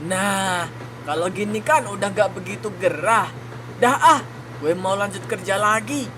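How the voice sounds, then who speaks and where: A man's voice: high voice, measured pace, noisy background. A man playing a defiant young male student and worker in a cartoon drama, with a slangy, argumentative manner. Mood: relaxed muttering. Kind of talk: animated cartoon drama dialogue. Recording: music behind the voice.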